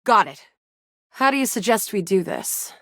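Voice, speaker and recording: Brash, animated young space-soldier heroine. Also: female voice, medium pitch, normal speed, no echo, clean studio sound. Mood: grudging, businesslike. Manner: brash, dramatic, self-assured. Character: cocky young female space soldier, action-game heroine. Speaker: video game voice actor. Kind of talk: video game character dialogue.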